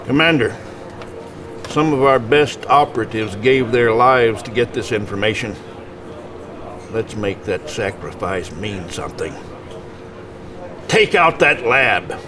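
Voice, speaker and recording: An actor playing a folksy, gruff older American army commander giving plain-spoken, emphatic orders in a game cutscene. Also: male voice, medium pitch, expressive, takes it slowly, long pauses, crowd noise. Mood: determined. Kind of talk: acted game cutscene briefing.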